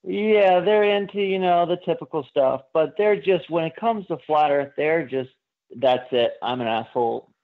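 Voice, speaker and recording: A man calling in to a radio show, chatting informally in a halting, rambling way over a phone line. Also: on the high side. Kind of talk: casual chat. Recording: tinny narrow phone line.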